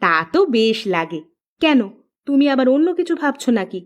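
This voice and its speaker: A woman's voice: high-pitched, sing-song, normal speed. A woman voicing a middle-aged village mother in a cartoon, fretful but practical and plain-spoken. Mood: questioning. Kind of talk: scripted Bengali cartoon dialogue.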